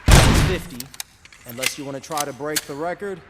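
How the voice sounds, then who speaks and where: Man playing easygoing range attendant amid loud noise. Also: a little high, normal speed, lightly acted. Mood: casual, friendly. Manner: casual, encouraging. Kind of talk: video game voice acting. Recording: loud gunfire and clicks.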